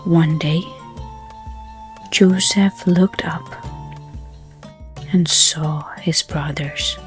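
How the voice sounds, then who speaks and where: A woman narrating a bedtime story in a gentle, soothing, hushed manner, with unhurried phrasing. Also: deep voice, steady tone. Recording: soft music under the voice. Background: background music.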